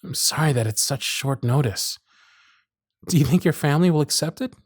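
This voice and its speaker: A man acts out a lovestruck circus performer in an intimate audio roleplay. The voice is husky and breathy, recorded close and dry. The delivery is hesitant and earnest, nervously feeling its way through each thought. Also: fairly high pitch, moderate pace, no echo. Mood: happy, tender. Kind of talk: intimate ASMR roleplay acting.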